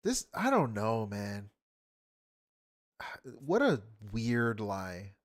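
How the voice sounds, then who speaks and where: A man drawls out sarcastic, profane jabs at a video he is watching. His pitch swings widely between scoffing lows and mock-outraged highs, and he takes his time getting the words out. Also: huge pitch swings, drawn-out words. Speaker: streamer reacting to videos. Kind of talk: mocking live commentary.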